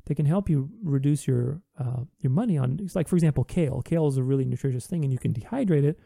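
A man's voice: medium pitch, fast talker, vocal fry, mellow, dry recording, studio quality. A man hosting a podcast, talking earnestly in a reflective solo monologue, with many restarts. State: recovering from voice loss.

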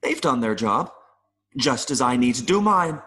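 A man playing an adult male mayfly in an audio play, nervous and earnest, pleading haltingly with little buzzing sounds. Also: mid-range voice, sing-song, pushed and bright. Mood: resigned, earnest. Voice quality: buzzing interjections between phrases.

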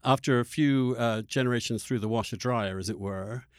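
A man hosting a conversational political discussion, speaking emphatically and haltingly with repeated words. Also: mid-range voice, dry recording, studio quality. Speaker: podcast host and interviewer.

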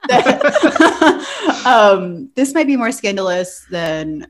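Casual, halting woman podcaster. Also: medium pitch, very animated. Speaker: podcast co-host, librarian. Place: remote video call recording.

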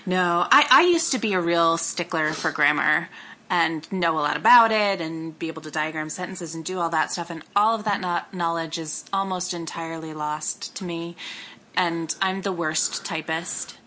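A woman in casual conversation, animated and self-deprecating, laughing often as she talks. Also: on the low side, normal speed, slight background noise, poor audio.

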